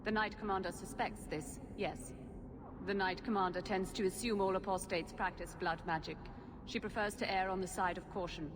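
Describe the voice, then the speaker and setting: An actress playing an emotionless, efficient mage assistant in a video game, formal and precise. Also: female voice, mid-range voice, moderate pace, lightly acted. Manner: flat affect, formal, precise. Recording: long hall reverb, clear background noise.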